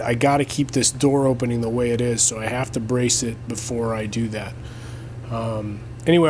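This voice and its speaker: Deep-voiced man, garage vlog host, lapel mic, chatty and meandering. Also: darkish tone, slight background noise. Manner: chatty, thinking aloud, frequent uh and restarts. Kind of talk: casual talk to camera. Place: home garage workshop.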